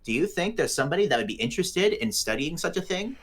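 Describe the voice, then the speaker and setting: A man's voice: fairly high pitch, big pitch swings, quick pace, a bit bright, voice pushed up. A man playing a small, polite adventurer in a tabletop game, with formal, mannered phrasing. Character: small, polite, chipmunk-like adventurer seeking help.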